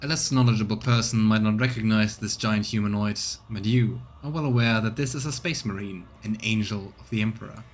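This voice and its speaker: A man's voice: fairly low pitch, fairly quick, hardly any pauses, a little hiss or hum. A man streaming a game, reading its text aloud as narration and adding casual comments of his own. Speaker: streamer, let's player.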